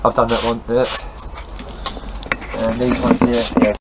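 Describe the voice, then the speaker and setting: Man chatting over clearly noisy background. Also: mid-range voice, little pitch movement, a little slow. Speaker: helper on the boat restoration. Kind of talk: casual working chat. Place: on a small boat being restored. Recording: clear background noise on location.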